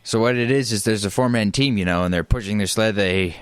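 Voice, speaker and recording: A man's voice: on the low side, brisk, smooth, dry recording, studio quality. A man co-hosting a comedy radio show in casual comic banter.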